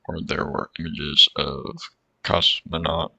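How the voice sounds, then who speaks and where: A man's voice: extremely low pitch, level tone, slow, vocal fry, bright, forceful tone, slight background noise. A man reading a visual novel's dialogue aloud and adding casual remarks as he plays. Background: game music.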